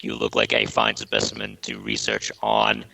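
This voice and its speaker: A man's voice: sing-song, normal speed, bright, forceful tone, full character voice, poor audio. A man voicing a cold, clinical male space alien in a prank call, with a stiff, formal manner. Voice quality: deep gravelly robotic drone. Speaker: prank caller.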